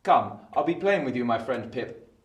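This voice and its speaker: Man playing a brisk Victorian lawyer, wildly varied pitch. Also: animated, roomy sound, far from the mic, lo-fi sound, close to an everyday voice. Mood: stern, firm. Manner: interrogating, clipped, legalistic, commanding. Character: brisk, exacting male Victorian London lawyer. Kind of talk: audio drama acting.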